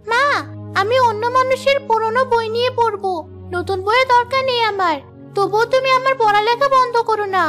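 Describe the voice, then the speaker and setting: Very high-pitched little schoolgirl. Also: a little fast, a bit bright, soft background music. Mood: pleading. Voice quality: very high, childlike. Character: young schoolgirl daughter, hurt, earnest and book-loving. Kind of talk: cartoon voice acting.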